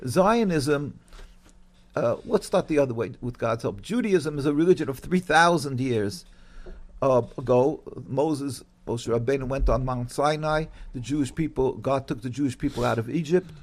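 A religious man as an interview guest, explaining his faith in a measured way with frequent pauses and fillers. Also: medium pitch.

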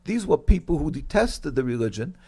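A religious man as an interview guest, explaining his faith in a measured way with frequent pauses and fillers. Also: on the high side, very animated, mellow, breathy edge.

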